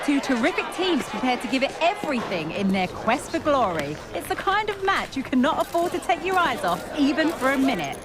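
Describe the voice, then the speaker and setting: A woman playing an excited TV football presenter in a video game, delivering scripted pre-match hype with dramatic emphasis. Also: high-pitched, a little fast, hardly any pauses. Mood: hyped, anticipatory. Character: excited female TV football presenter building anticipation. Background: loud music.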